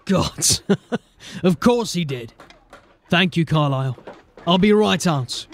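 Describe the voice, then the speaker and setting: A man playing a determined, embattled police sergeant in a dramatic audio drama, speaking earnestly and deliberately. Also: on the high side, lively intonation. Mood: guarded, wry.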